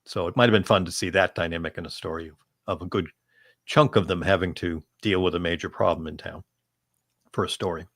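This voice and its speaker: A man speaking as a fan panelist in casual discussion, thinking aloud in long, measured sentences. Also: sits low, fairly even intonation, fairly bright tone, dry recording, clean studio sound.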